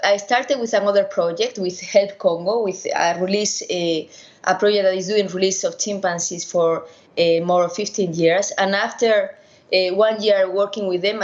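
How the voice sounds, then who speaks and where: A middle-aged woman with a Spanish accent, a veterinarian answering interview questions haltingly over an internet call. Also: mid-range voice, steady tone, dull audio. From Spain. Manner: halting, many restarts and fillers. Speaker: veterinarian and primatologist guest. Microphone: video call.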